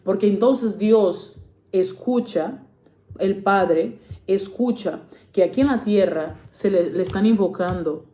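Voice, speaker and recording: A woman whose first language is Portuguese, preaching informally and earnestly, often pausing on fillers and correcting herself. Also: medium pitch, warm tone, roomy sound.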